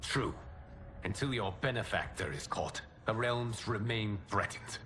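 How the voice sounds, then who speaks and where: An actor playing a calm, wise guardian in a video game cutscene, formal and measured. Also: male voice, fairly low pitch, slight background noise. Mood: grave. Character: calm, solemn, wise male protector of realms.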